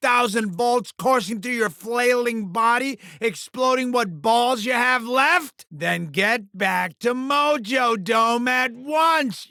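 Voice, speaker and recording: A man's voice: very high voice, sharp tone, dry recording, studio quality, full character voice. A man voicing a pompous, sleazy alien media mogul in a video game, with hammy, theatrical showman delivery. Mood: angry ranting. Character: bombastic, sleazy alien showbiz mogul, male, crude and bullying.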